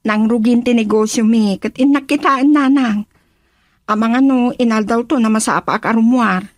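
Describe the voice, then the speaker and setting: An actress playing a dutiful, patient young daughter in an Ilocano radio drama. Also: female voice, a little high, moderate pace, no echo, clean studio sound, natural voice. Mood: patient, reassuring.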